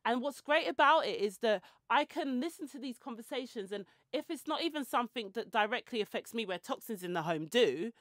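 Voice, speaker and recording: A woman's voice: a little high, animated, fairly quick, few pauses, fairly bright tone, no room sound, clean studio sound. Chatty woman in her forties. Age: 40s. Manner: chatty and rambling, with tangents and self-mocking asides. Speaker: podcast host. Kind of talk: casual chatty monologue. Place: small indoor room with shelves and clothes rails. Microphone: handheld mic held close.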